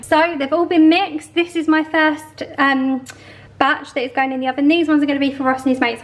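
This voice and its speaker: A woman chatting casually through a baking vlog with rambling explanations, her voice slightly hoarse. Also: high voice. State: slightly hoarse from a long call. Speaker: vlogger and home baker. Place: home kitchen. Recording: echoey room, faint noise, clicks.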